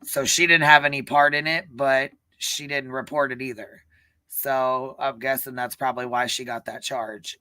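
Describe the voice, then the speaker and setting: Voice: medium pitch, fairly even intonation, normal speed, bright, forceful tone, smooth, no echo. A livestream host chatting casually about events in an emphatic, profane way. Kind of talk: casual live commentary chat.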